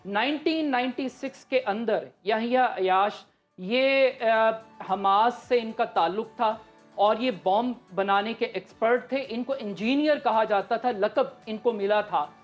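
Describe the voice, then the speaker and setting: A woman with a Pakistani accent speaks in a fairly high voice. She delivers live political commentary as an analyst, explaining each point with emphasis, with music underneath. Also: a little slow, dull audio. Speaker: analyst on a current affairs show.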